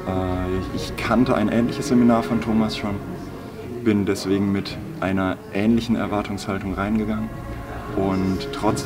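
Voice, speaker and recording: A hoarse young man speaks enthusiastically about a seminar in a very deep, flat voice. He sits in a sports hall with the mic well away from him. Loud music runs underneath. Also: distant mic. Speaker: seminar participant. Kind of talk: interview testimonial.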